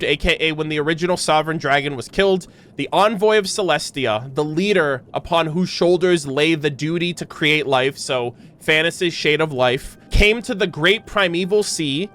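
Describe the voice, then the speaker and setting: A man's voice: on the high side, fairly bright tone. A man giving casual reaction commentary, thinking aloud in a musing, self-questioning way. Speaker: YouTube reactor and game lore commentator. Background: background music.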